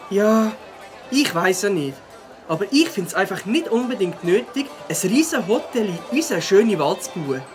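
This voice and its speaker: A woman's voice: medium pitch, sing-song, normal speed, faint music behind, natural voice. A woman voicing a level-headed, sceptical young beaver boy in a cartoon. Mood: doubtful. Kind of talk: cartoon character acting.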